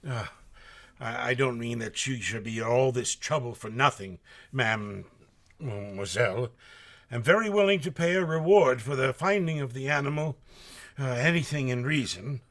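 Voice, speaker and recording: A man playing a nervous, rough but honest sailor in a radio drama, stammering and deferential. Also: mid-range voice, moderate pace, a bit bright, lightly acted. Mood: anxious, deferential. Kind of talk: live radio drama acting.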